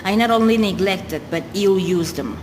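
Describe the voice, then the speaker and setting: A woman playing a confessional narrator in a radio play, telling the story in formal, measured literary prose. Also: medium pitch, close to an everyday voice. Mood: grave confession. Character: confessional narrator, an animal lover ruined by drink. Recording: noisy, long hall-like reverb.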